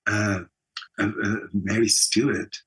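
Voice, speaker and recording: An older man with a South African accent, telling anecdotes reflectively as an interview guest and often voicing imagined dialogue in an expressive way. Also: fairly low pitch, slow, husky. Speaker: interview guest, actor and singer.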